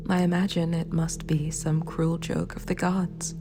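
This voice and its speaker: Villain ruler, fallen hero female actor: very even, unhurried half-whisper, regretful and dignified, ASMR roleplay over dark music. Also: deep voice. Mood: somber, wry. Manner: hushed, breathy, measured, formal. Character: remorseful, sympathetic villain ruler, once a hero. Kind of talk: scripted ASMR roleplay monologue. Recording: clear background music. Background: dark, spooky background music.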